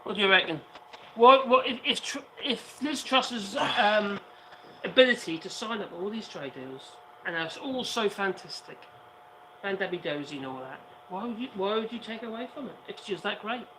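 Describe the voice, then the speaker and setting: A man with a hoarse voice co-hosting a casual livestream chat outdoors, sarcastic and fond of rhetorical questions. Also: high-pitched, expressive, moderate pace, pushed and bright, slight background noise, rough recording. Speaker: co-host, video channel maker. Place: outdoors on an island, sitting on cardboard.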